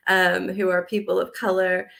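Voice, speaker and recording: A woman's voice: fairly low pitch, flat delivery, moderate pace, vocal fry, fairly bright tone. An American woman in her forties, a guest in a casual interview, talking animatedly with frequent laughs and fillers. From United States.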